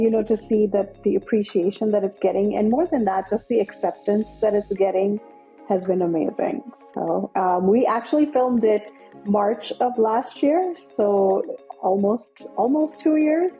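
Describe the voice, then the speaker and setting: A woman's voice: mid-range voice, measured pace, slightly rough, lo-fi sound, soft background music. A woman film director from Nepal, speaking as an interview guest in an upbeat, enthusiastic way with many fillers. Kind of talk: casual interview.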